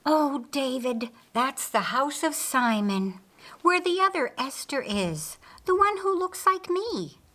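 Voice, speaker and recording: A woman voicing a regal, inquisitive and excitable queen in animated audiobook dialogue. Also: high-pitched, slow, pitched above the performer's own voice, full character voice. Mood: startled, eager. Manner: animated, exclaiming and wondering.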